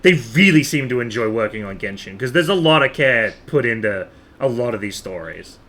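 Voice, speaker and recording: Male livestreamer: bright, lively, swooping delivery, casual rambling chat with repetition for emphasis. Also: mid-range voice, brisk. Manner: animated, rambling, repeats himself for emphasis. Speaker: game livestreamer.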